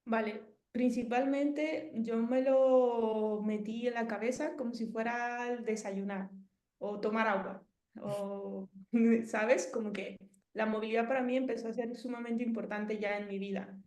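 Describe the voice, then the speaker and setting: A woman's voice: a little high, fairly even intonation, a little slow, a little mellow, reverberant. A Mexican woman in her thirties with a Mexican Spanish accent, chatting casually as an interviewee and rambling with many fillers and restarts. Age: 30s.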